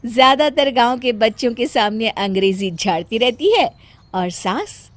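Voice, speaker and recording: A woman reads a scripted tale as narrator. Her voice is high and very lively in pitch, recorded close and dry. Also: measured pace, no echo.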